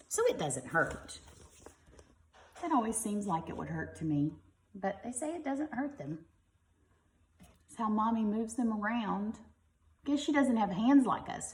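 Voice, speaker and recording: A woman's voice: mid-range voice, sing-song, moderate pace, roomy sound, slight background noise. A woman reading a picture book aloud to young children like a teacher, expressively and with simple explanatory asides.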